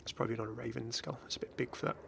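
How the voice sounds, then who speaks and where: A man's voice: medium pitch, racing, vocal fry, a bit bright, a little hiss or hum. A man giving casual, off-the-cuff game commentary as a video host, chatty and rambling with enthusiastic asides.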